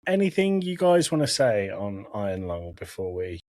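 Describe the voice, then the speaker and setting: A man's voice: lowish voice, sing-song, normal speed, darkish tone, no room sound. A man hosting a podcast, talking casually about films in a conversational style. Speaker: podcast host. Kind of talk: casual film discussion.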